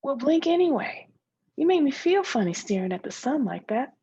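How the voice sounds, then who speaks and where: A woman's voice: sits high, big pitch swings, normal speed, warm tone, dry recording, muffled recording, pitched above the performer's own voice. A woman voicing an imaginary girl friend, knowing and placating with a needling edge, in a literary read-aloud. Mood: uneasy, scolding.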